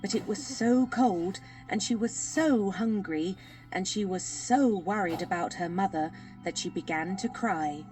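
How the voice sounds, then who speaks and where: A woman's voice: medium pitch, a little slow, darkish tone, faint music behind, low-quality recording. A woman narrating a children's fairy tale as a scripted audiobook.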